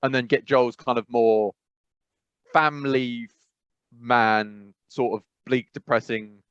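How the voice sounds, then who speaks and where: A man's voice: medium pitch, steady tone, slow, a bit bright, clear, resonant tone, dry recording. Rambling man, dry dull sound. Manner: rambling, self-correcting, frequent "I must be honest". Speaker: podcast co-host. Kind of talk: casual chat.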